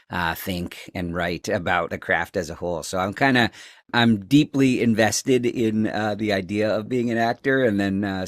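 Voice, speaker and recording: Low-voiced man, casual and rambling. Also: a bit bright, no echo, clean studio sound. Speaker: interview guest, actor. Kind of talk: casual interview chat.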